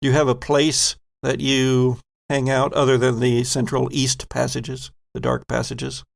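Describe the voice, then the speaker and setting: A man running a tabletop game as game master, setting scenes with deliberate narration and frequent fillers. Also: mid-range voice, monotone, vocal fry.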